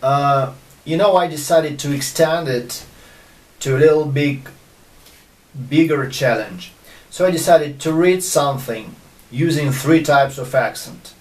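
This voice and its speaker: A man from Russia with a Russian accent, talking casually to viewers as a video host in a hesitant, halting way. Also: a little high, far from the mic. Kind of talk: casual vlog talk to viewers.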